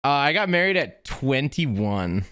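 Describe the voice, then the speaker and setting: A man's voice: mid-range voice, very animated, measured pace, fairly bright tone, clean tone, no room sound, studio quality. A man in his twenties telling personal stories in casual, slangy chat, often laughing as he talks. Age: 20s. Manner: laughs while talking, informal slang.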